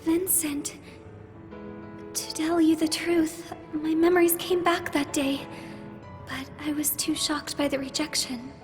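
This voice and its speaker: Very high, sweet youthful androgynous boy. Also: steady tone, measured pace. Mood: vulnerable, confessing. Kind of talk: video game cutscene acting. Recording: music behind the voice.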